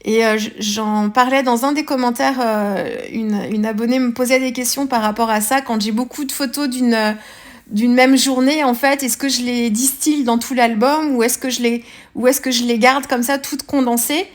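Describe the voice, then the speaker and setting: French woman, fairly high voice, relaxed halting tutorial talk for a craft vlog. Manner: hesitant, many fillers and restarts. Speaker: scrapbooking vlogger. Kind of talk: casual craft tutorial narration.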